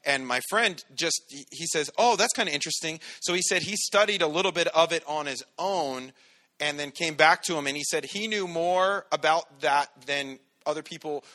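A pastor preaching a sermon in a loud, commanding voice, energetic and conversational. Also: male voice, a little high, normal speed.

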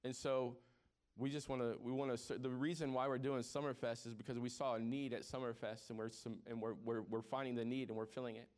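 A man's voice: medium pitch, flat delivery, a little fast, a little mellow. A man in his forties preaching a sermon as pastor, conversational and joking with the congregation, often repeating words as he restarts. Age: 40s.

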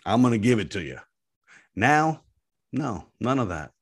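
A man with a deep voice talking in a casual interview, an animated and self-deprecating storyteller. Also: measured pace, slightly rough. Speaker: guest, app founder.

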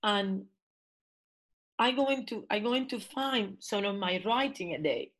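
A woman's voice: medium pitch, expressive, fairly bright tone, rough recording. A woman whose first language is not English, an artist and professor speaking and reading her own poem in an interview. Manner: slow, impassioned, with rising emphasis. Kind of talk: reflective spoken essay and poetry reading.

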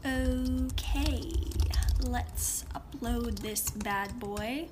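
A woman's voice: on the high side, extremely slow, background noise. A young woman acting as a frustrated aspiring voice actress recording at home, muttering and snapping between takes in a comedic audio monologue. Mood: exasperated. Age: young adult.

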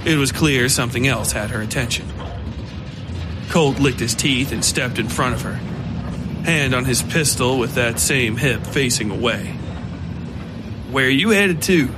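Male storyteller for dark fiction podcast, mid-pitched, scripted delivery over a clear music bed. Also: normal speed. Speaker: narrator. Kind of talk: scripted horror fiction narration.

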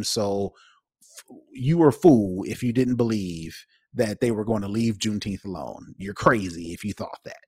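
Low-pitched man with swooping, animated delivery. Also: slightly rough, no echo, studio quality. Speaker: podcast co-host. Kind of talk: casual opinion talk.

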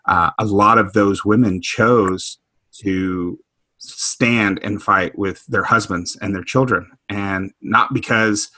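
Bright, dry-sounding man talking thoughtfully. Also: lowish voice, dry recording. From Houston, Texas. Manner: thoughtful, halting with frequent restarts. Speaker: discussion panelist. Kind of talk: reflective conversational discussion.

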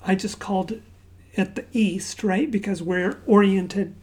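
Soft-spoken woman preaching, slow and mellow. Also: medium pitch, fairly even intonation. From Zambia. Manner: soft, unhurried, often dropping to a hushed near-whisper; conversational storytelling with fillers and asides. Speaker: pastor. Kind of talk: sermon with opening prayer.

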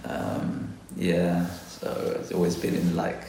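A man's voice: low voice, steady tone, measured pace, gravelly, mellow, heavy reverb, slight background noise. A man from London, a guest botanist, talking reflectively in a casual interview, hesitant with many fillers. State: a little nervous.

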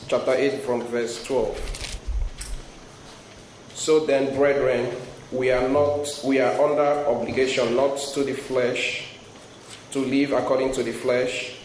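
A man in his thirties from Nigeria with a Nigerian accent, giving a sermon as a guest speaker, reading scripture and praying, often pausing with ums and restarting his sentences. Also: mid-range voice, darkish tone. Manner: hesitant, frequent ums and restarts. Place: large hall before a youth crowd. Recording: echoey room with noise.

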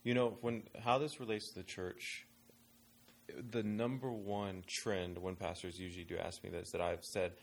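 Low-voiced man talking things through. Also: little pitch movement, moderate pace, gravelly, a little hiss or hum. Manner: thoughtful, rambling with restarts. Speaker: ministry worker advising pastors on young adults. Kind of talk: casual panel discussion.

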